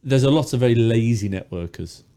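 A man co-hosting a podcast, chatty and jokey, a little hoarse from a sore throat. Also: mid-range voice, brisk, mellow. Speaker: podcast co-host. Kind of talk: casual chat. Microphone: studio mic with pop filter.

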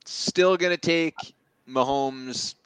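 A man's voice: medium pitch, takes it slowly, a bit bright, smooth, no echo, low-quality recording. A man giving casual fantasy football analysis as part of a panel. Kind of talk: casual sports debate.

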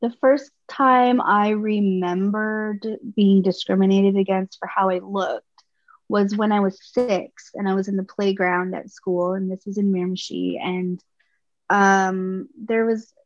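A woman in her thirties from Canada, speaking as a podcast guest in a thoughtful, halting way with frequent restarts. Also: mid-range voice, steady tone, no room sound. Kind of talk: personal conversation. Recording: slightly muffled dry sound.

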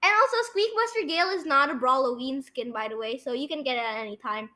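High, bright-voiced young podcast host. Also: fast. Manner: bossy, correcting the co-host. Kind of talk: casual podcast quiz chat.

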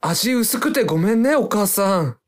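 A man's voice: high-pitched, lively intonation, moderate pace. A man playing an uneasy ordinary worker in a poetic radio drama, with terse, apologetic lines.

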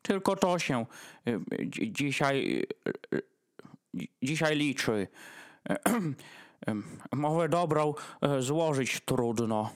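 A man's voice: mid-range voice, very animated, takes it slowly. A man playing a doting, long-winded dog host in a rhymed radio play, speaking in a ceremonious manner. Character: Freddy, doting, long-winded male dog hosting Christmas dinner.

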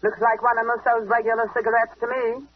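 An actress playing a blunt, slangy, hard-boiled female lawyer in a 1940s radio crime drama, with a husky voice. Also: fairly high pitch, flat delivery, fast, close to an everyday voice. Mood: challenging. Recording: dull old radio broadcast recording.

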